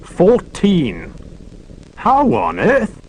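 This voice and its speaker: A man's voice: sits high, huge pitch swings, very slow, darkish tone, slight background noise, voice pushed up, exaggerated voice, rough recording. Man doing a flustered, earnest police sergeant. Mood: amazed. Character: patient, put-upon police sergeant.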